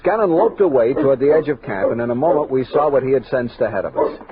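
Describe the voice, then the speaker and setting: Frontier army captain, male actor: authoritative, steady, formal journal narrator with lively intonation, old thin radio sound. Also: mid-range voice, phone-quality sound, a loud crowd, natural voice. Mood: grave narration. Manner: authoritative, formal, measured storytelling. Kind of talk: 1960s radio drama acting and narration. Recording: thin, band-limited vintage radio recording.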